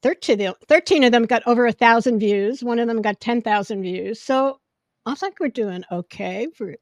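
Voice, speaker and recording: A woman hosting a podcast in casual chat, with a loud voice that projects when she gets excited. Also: on the high side, moderate pace, no room sound. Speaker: podcast host. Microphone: podcast microphone.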